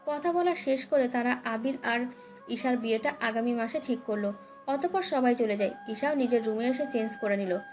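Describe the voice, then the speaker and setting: High-pitched woman narrating a romance story. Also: moderate pace, darkish tone. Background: background music.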